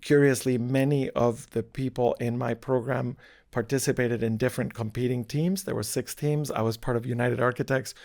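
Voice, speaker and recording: A middle-aged man from Spain speaks with a gravelly, fry-edged voice at an ordinary pitch. He talks thoughtfully and haltingly, restarting phrases as he searches for words, in a relaxed interview. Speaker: architecture professor, guest. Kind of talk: casual interview conversation.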